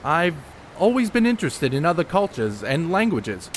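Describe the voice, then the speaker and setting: A man's voice: fairly high pitch, sing-song, moderate pace, slight background noise. A man playing an educated, fussy, secretive ballplayer in a period stage play, precise, reproving and a little hesitant. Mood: guarded.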